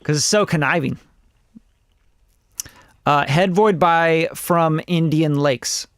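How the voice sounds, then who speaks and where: Man, forties, rambling livestream talk, blunt and profane, slightly high voice. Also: slow pace, clear, resonant tone, no room sound, clean studio sound. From Costa Rica. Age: 40s. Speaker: music producer reviewing songs live. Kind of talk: casual livestream chat.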